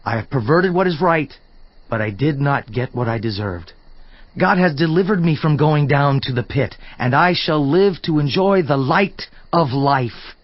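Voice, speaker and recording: A man's voice: fairly high pitch, lively intonation, a little slow, dry recording. A man playing an earnest, indignant counselor in a dramatized scripture reading, solemn, emphatic and declamatory in delivery. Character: Elihu, earnest indignant male counselor rebuking a suffering man. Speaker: voice actor in audio Bible. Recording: slightly dull sound, music underneath. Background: background music.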